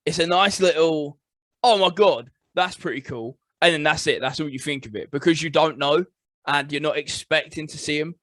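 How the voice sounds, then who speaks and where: Male co-host, fairly high voice, close dry sound, opinionated and plain-spoken in casual chat. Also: moderate pace, a bit bright, no echo. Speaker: podcast co-host.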